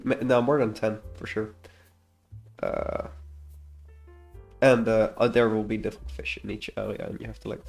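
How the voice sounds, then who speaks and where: A man's voice: on the low side, gravelly. A man with a slightly congested voice gives casual, unscripted commentary as a game developer, rambling hesitantly and often trailing off mid-thought. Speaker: indie game developer presenting a devlog.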